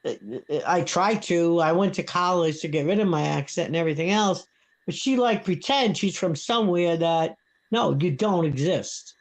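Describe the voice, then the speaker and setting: A woman's voice: fairly low pitch, lively intonation, normal speed. Blunt older Brooklyn woman. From Brooklyn, New York. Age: 70s. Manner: blunt, heated, repeats herself. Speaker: live stream guest. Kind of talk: casual chat.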